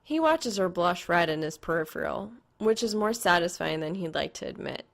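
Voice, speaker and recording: Woman, fan fiction reader, lowish pitch, noticeable vocal fry, scripted storytelling. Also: lively intonation, normal speed.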